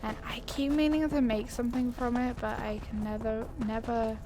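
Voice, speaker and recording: A woman's voice: fairly high pitch, fairly even intonation, normal speed. A woman hosting a gaming video with casual commentary, chatty and giggly, musing to herself as she plays. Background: game music.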